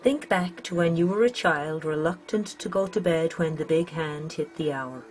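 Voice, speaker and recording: A woman's voice: low-pitched, normal speed. A woman with an Irish accent narrating a scripted children's storybook. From Ireland. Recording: music under the voice.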